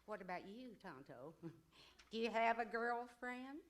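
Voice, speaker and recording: A woman's voice: on the high side, animated, measured pace. A woman playing a bossy, teasing older mother in an old-time radio Western comedy, with folksy, scolding talk. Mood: exasperated, nagging.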